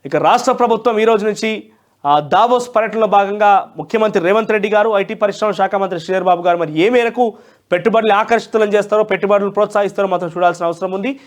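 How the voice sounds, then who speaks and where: High-pitched Telangana Telugu male news presenter. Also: fast talker. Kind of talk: newspaper review with opinionated commentary.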